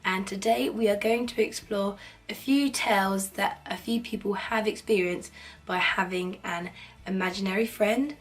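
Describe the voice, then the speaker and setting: Hoarse woman, head cold, chatty spooky storyteller, a bit off-mic, faint hiss. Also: mid-range voice. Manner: cheerful, chatty, playfully spooky. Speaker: horror storytelling channel host. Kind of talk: casual spooky story narration. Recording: slightly distant mic, faint background noise.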